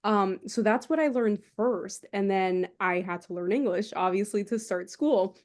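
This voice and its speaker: A woman's voice: mid-range voice, a little slow. A woman from New Jersey, a children's book author whose first language was Portuguese, introducing herself on a panel in a reflective, storytelling way. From New Jersey, USA. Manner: warm, reflective storytelling with frequent "um". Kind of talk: panel talk, personal introduction.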